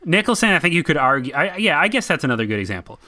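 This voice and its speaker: A man's voice: on the high side, sing-song, rapid-fire, pushed and bright. A man with a Boston accent, co-hosting a film podcast and making his points emphatically. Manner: emphatic, opinionated. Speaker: podcast co-host. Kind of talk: casual film discussion.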